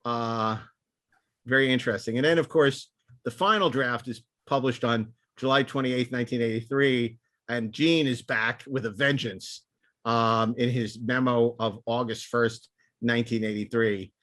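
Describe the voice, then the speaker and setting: A man's voice: mid-range voice, steady tone, unhurried, a bit bright, no echo. A man hosting a podcast, talking through and reading out notes in a casual panel discussion. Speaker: podcast host.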